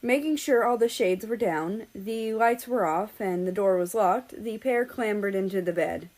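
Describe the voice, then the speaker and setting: A woman's voice: medium pitch, moderate pace, darkish tone, rough recording. A woman reading a story aloud as a scripted narration, in the role of an audiobook-style reader.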